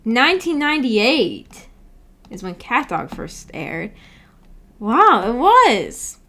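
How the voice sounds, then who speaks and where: A woman's voice: fairly high pitch, sing-song, takes it slowly, a bit bright. A woman hosting a livestream, chatting casually and reading out viewer comments in a giggly, chatty way. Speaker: streamer and host.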